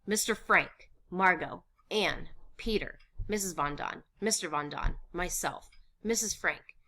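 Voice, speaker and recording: A woman's voice: on the low side, measured pace, pushed and bright, exaggerated voice. A woman reader voicing a fussy, anxious man who insistently counts things out in a wartime stage play. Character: fussy anxious man rationing food in hiding.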